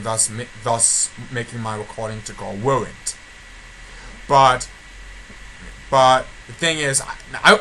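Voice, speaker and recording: A man's voice: medium pitch, pushed and bright. A man giving a casual, rambling update for his video channel, often repeating himself and restarting sentences. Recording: noticeably noisy amateur home recording.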